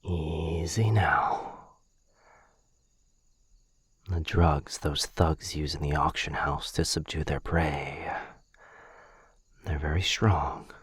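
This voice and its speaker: A man playing a gentle, protective vampire gentleman, breathy and soothing, in a close-miked boyfriend ASMR roleplay. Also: a bass voice, fairly even intonation, takes it slowly, exaggerated voice. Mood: gentle, apologetic. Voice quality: breathy, husky, whispery. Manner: soothing, apologetic. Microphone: close mic, dry.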